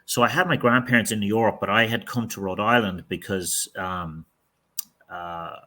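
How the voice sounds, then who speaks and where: A middle-aged man with a low voice and a bright, forceful tone. He answers interview questions candidly and at length. He often restarts his sentences as he thinks aloud. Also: fairly even intonation, moderate pace. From outside the United States. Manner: candid, rambling, with frequent restarts. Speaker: real estate team leader, podcast guest.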